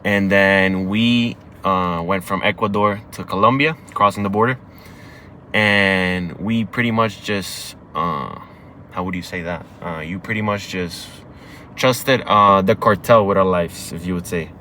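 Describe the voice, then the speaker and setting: Deep-voiced young man from Cuba. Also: a little slow, pushed and bright, a little hiss or hum. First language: Spanish. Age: young adult. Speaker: guest. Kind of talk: casual storytelling. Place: inside a car.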